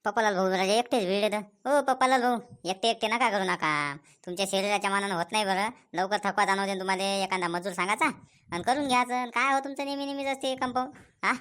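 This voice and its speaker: Quick-talking nagging village neighbour voiced by a woman. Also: medium pitch, a bit bright, close to an everyday voice. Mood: nagging concern. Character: nagging, concerned village neighbour advising a farmer. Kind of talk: Marathi cartoon comedy dialogue.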